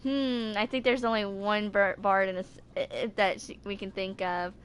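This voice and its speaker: A woman in her twenties reading game text aloud in a halting, amateur way with casual asides. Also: mid-range voice, little pitch movement, slight background noise. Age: 20s. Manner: halting, stumbles over words. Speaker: amateur gaming video creator.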